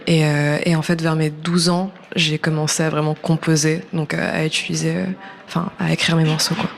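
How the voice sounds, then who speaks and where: A woman's voice: low voice, level tone, a little fast, vocal fry, a little hiss or hum. A woman in her early twenties, a native English speaker, chatting casually in a radio interview, hesitant and informal, often trailing off. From born in New York, French-American. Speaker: musician, singer guest.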